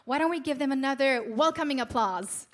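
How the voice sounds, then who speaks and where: A woman's voice: sits high, expressive, fairly quick. A woman hosting a live ceremony talk show, with a polished, upbeat emcee delivery. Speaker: event host and talk show moderator.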